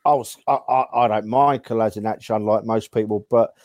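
A man's voice: on the low side, fairly even intonation, fairly quick, a little mellow, no echo. A man on a fan panel, talking hesitantly and stumbling over words. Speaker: panel guest. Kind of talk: casual fan chat.

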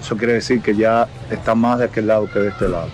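A man's voice: lowish voice, steady tone, fast talker, slight background noise. A man working as a sports reporter, asking plain, direct interview questions.

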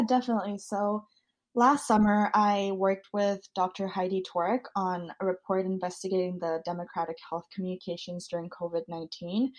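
A woman's voice: mid-range voice, darkish tone. A young woman in her twenties, originally from South Korea, answering podcast interview questions fluently and thoughtfully, with some um fillers. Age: 20s. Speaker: student guest.

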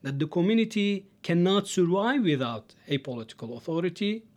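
A man from Turkey, a history scholar, explaining in a measured way and stressing key words. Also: high voice, big pitch swings. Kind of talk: interview excerpt, explanatory.

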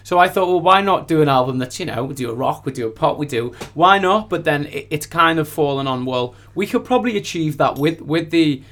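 A man's voice: on the high side, animated, brisk, few pauses, fairly bright tone. A man who is a recording artist, chatting casually and informally in a studio interview, often repeating phrases for emphasis.

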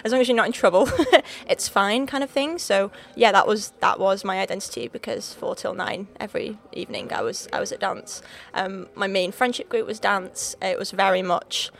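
A woman's voice: mid-range voice, normal speed, creaky, hardly any pauses, a bit bright. A young woman from North Wales with a Liverpool-leaning accent, chatting as a podcast guest with lots of fillers, restarts and laughs. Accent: Liverpool-leaning North Welsh. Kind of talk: casual interview chat.

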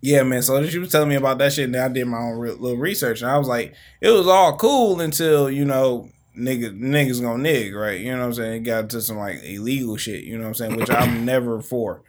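A man in his thirties with a Midwestern American accent, co-hosting a casual podcast chat and telling stories, acting out quotes with big jumps in pitch. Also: a little fast, few pauses. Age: 30s. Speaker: podcast co-host.

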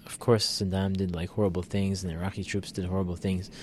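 Low-voiced man co-hosting a talk show. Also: level tone, fast, darkish tone, a little hiss or hum. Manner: thoughtful, many fillers and restarts. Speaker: podcast co-host. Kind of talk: casual political discussion.

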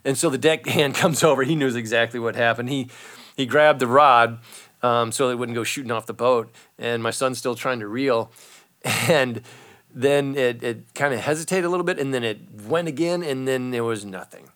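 A man's voice: mid-range voice, normal speed. A middle-aged man in casual chat, telling a long fishing story with lots of fillers and restarts. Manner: rambling, animated, many fillers.

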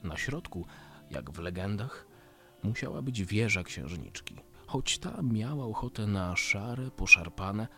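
Casting: male actor as embittered survivor of a bleak future, brooding measured monologue for radio drama, music underneath. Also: sits low, exaggerated voice. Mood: brooding, sombre. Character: weary embittered male survivor in a dystopian world. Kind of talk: scripted first-person radio drama monologue.

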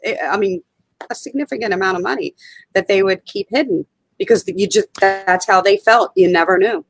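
A woman's voice: mid-range voice, a little fast, no room sound, rough recording. An older woman co-hosting a casual finance chat, hesitant and filling her talk with "I mean". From Pennsylvania. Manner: hesitant, restarts and fills with "I mean". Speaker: co-host.

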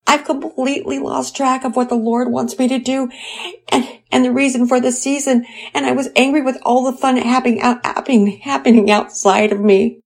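Woman doing a teary mom voice, way higher than her own, breaks and stammers, husky. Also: fairly even intonation, dark tone, heavily acted. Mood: tearful. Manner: sobbing, halting and stammering. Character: distraught middle-aged mother, tearful and ashamed.

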